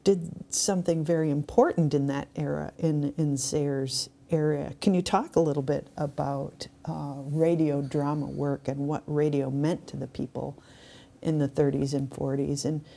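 A woman's voice: low-pitched, fairly even intonation, moderate pace, vocal fry, warm tone. A middle-aged woman hosting a podcast, talking conversationally and reflectively between her questions. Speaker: podcast host, spiritual director. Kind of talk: conversational interview with reflective asides.

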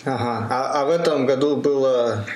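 A male host chats informally as he interviews a guest. His voice is average in pitch, recorded in a roomy space with noticeable echo and faint noise behind it. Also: normal speed. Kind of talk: casual interview.

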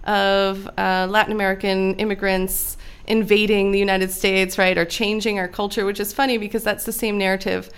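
A woman being interviewed, explaining history in a measured, lecturing way, often checking in with "right?". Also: mid-range voice, flat delivery, clear, resonant tone. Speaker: guest expert on immigration history.

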